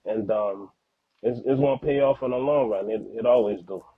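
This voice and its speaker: A man's voice: medium pitch, normal speed. A young man of Haitian background whose native language is Haitian Creole, talking earnestly and slangily as a podcast guest and father in a casual interview. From Haitian background, living in the United States. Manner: earnest, slangy, repeats words for emphasis. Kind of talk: casual, heartfelt interview talk. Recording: phone-like narrow-band audio, dry.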